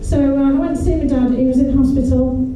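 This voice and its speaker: A woman in her forties with a Manchester accent, performing a stand-up comedy set with dry, deadpan delivery and crude punchlines. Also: high voice, brisk, a little hiss or hum. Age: 40s. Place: comedy club stage. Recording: echoey club room, distant mic.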